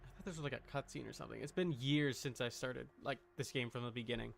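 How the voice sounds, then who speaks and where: A man chatting casually on a game livestream, talking to himself in a halting, muttering way. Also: mid-range voice, vocal fry, slight background noise. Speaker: streamer.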